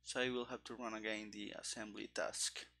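A man in his thirties thinking aloud as he codes live, halting and muttering in fragments into a headset mic. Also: on the low side, little pitch movement, sharp tone. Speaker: game developer streaming his coding. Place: small room indoors.

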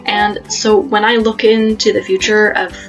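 A woman in her thirties talks casually to camera, explaining things in a hesitant way with thoughtful pauses. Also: medium pitch, flat delivery, loud music behind the voice, low-quality recording. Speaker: homeschooling parent and video host. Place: small plain room at home, indoors.

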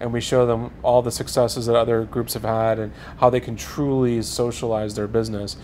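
A man's voice: medium pitch, level tone, normal speed, darkish tone, a little hiss or hum. A man on a studio panel, explaining in a corporate tone with some fillers. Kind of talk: panel discussion.

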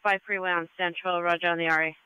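A man's voice: high-pitched, little pitch movement, fast talker, pushed and bright, smooth, no echo. A man working as a police dispatcher, giving clipped, procedural calls over a muffled scanner radio.

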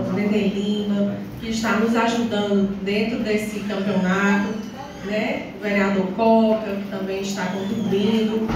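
Woman, northeastern Brazilian accent, town councillor at the podium; distant from the mic, loud background noise, semi-formal speech. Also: mid-range voice. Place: small council chamber, indoors. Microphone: phone or camera several metres away. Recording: distant, very echoey, noisy room recording.

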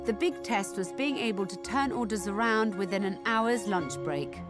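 Woman narrator, measured, clear, middle pitch, music bed under. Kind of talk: scripted narration.

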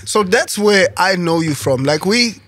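A man's voice: sits high, lively intonation, unhurried, fairly bright tone. A man in his thirties hosting a casual podcast, reminiscing in loose, slangy talk with his guest. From South Africa. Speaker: podcast host.

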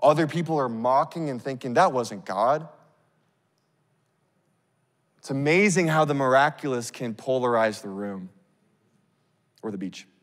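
Man, 30s, pastor, animated casual sermon, handheld mic, lingering hall echo. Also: medium pitch, moderate pace. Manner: animated, acts out scenes, casual fillers. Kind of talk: sermon storytelling. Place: large church stage. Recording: long reverb of a big hall.

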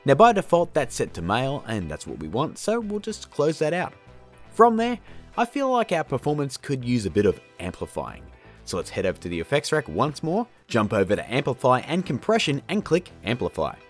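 A man in his thirties hosting a casual software tutorial in an informal, jokey and emphatic way. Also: medium pitch, wildly swooping pitch, brisk, soft background music. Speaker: video tutorial host.

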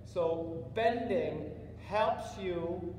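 A man's voice: high-pitched, very slow. A middle-aged man coaching a rider live, giving short encouraging instructions and patient explanations. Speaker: riding clinic instructor. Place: large indoor riding arena. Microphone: spectator's camera at some distance. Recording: echoey hall, distant mic, loud background noise.

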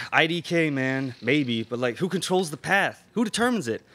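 A man's voice: a little high, expressive, moderate pace, a bit bright. A young man playing a musing, casual moviegoer in student radio theatre dialogue. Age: young adult. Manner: casual, rambling. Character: musing young male moviegoer given to existential questions. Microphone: microphone on stand.

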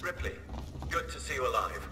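An actor voicing a polite, earnest male android in a sci-fi video game, with formal, precise and measured wording. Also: mid-range voice, animated, lightly acted. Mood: grave, resolute. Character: polite, earnest, self-sacrificing male android aboard a space station. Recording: echoey, distant, under loud music.

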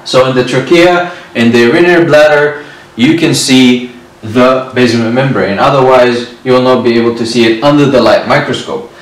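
A man in his twenties giving a whiteboard lecture on histology, speaking slowly and repeating key terms. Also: mid-range voice. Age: 20s. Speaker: medical lecturer. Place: indoor room at a whiteboard. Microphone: far camera. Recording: very echoey room, distant mic.

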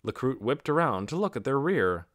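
A male narrator reads a fantasy novel aloud. His mid-pitched delivery is lively and varied, recorded dry with no room sound. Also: moderate pace, no room sound. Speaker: audiobook narrator.

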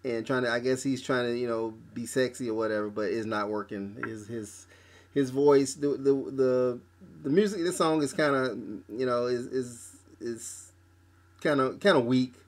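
A man hosting a casual album review podcast, talking haltingly with lots of fillers and restarts. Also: mid-range voice.

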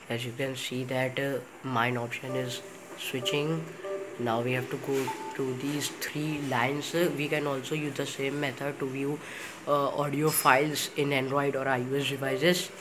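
Slow, even-toned Indian man. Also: medium pitch, low-quality recording. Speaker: tech tutorial presenter. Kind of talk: unscripted step-by-step demonstration. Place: indoor room in a home, in front of a TV.

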